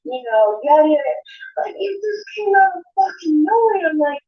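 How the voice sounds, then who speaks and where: High, animated woman arguing defensively. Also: normal speed. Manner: defensive, insistent, repeating herself. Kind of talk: argumentative live panel talk. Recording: dull lo-fi stream audio.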